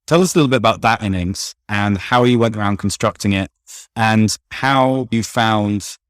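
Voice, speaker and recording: A man hosting an interview, asking questions in a measured, conversational way with some fillers. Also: fairly low pitch, no echo. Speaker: podcast host, interviewer.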